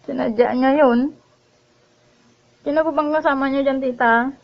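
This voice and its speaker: A woman's voice: sits high, fairly even intonation, moderate pace. A woman with a Filipino accent chatting casually in a polite, worried, pleading way. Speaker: vlogger making a prank phone call. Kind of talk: casual phone chat, worried-sounding pleading. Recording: dull lo-fi audio with faint noise.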